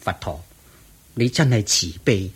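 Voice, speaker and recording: A man's voice: fairly low pitch, lively intonation, measured pace, slightly rough, full character voice. An actor playing a reverent disciple monk in a radio drama, earnest, respectful and protective of his master. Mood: attentive, protective. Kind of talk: Cantonese radio drama acting.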